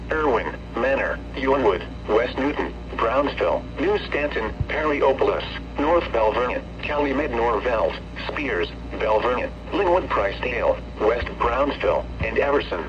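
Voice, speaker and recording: A synthetic man's voice reading a scripted weather warning bulletin in a formal, flat, clipped way as a radio announcer. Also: mid-range voice. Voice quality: synthetic text-to-speech voice. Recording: muffled, noisy weather radio with hum.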